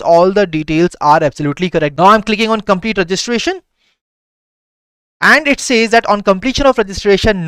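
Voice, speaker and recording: A man with an Indian English accent and a high, smooth, resonant voice walks viewers through filling out an online form. He talks in a repetitive, reassuring way and sometimes slips into Hindi. The recording is close and dry. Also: a bit bright, no room sound. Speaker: exam prep tutor. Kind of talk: screen-recorded form walkthrough.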